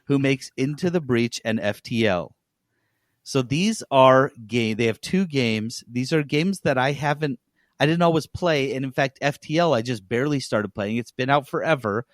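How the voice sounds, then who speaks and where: Male podcast co-host, medium pitch, animated and emphatic, casual banter, dry recording. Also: no room sound.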